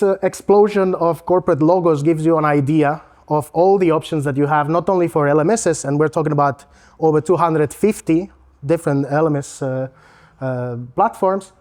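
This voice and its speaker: A man's voice: fairly high pitch, a little mellow. A man from Spain with a Spanish accent, giving a casual, joking presentation as a learning technology consultant. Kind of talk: conference presentation.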